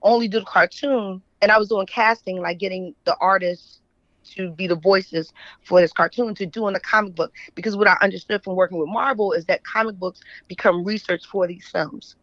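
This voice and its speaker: A woman's voice: mid-range voice, steady tone, brisk, dry recording. American woman in dull, lo-fi audio. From Philadelphia, USA. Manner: conversational, often checks in with "right?". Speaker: interview guest, author and editor. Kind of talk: casual storytelling in an interview.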